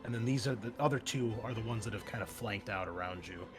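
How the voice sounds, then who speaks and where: A man's voice: lowish voice, quick pace, over music. A man working as game master, narrating a tabletop roleplaying game in an informal, wry and exclamatory way.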